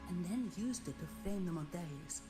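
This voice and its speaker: Woman acting a low-voiced, cool professional investigator. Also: expressive, normal speed, close to an everyday voice. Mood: wry, confident. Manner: composed, formal, matter-of-fact. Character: Zanni, cool businesslike composed young woman investigator for a bank. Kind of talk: video game voice acting. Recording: echoey voice under loud music.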